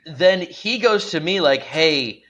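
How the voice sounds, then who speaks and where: A man with a pronounced stutter telling stories casually as a guest comedian, halting and repeating sounds, with chuckles in his speech. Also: on the high side, animated, pushed and bright, low-quality recording. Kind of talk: casual comic storytelling on a podcast.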